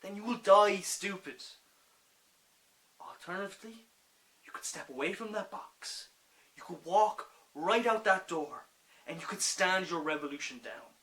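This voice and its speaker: A young man playing an anguished, forgiving war-veteran doctor in an impassioned dramatic monologue that swings from quiet to loud. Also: sits high, animated, a little slow, pauses often, heavily acted, poor audio. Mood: stern, urgent.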